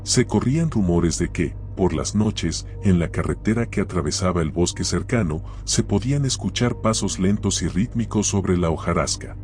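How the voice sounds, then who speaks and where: Very deep-voiced male horror narrator. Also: darkish tone. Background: background music.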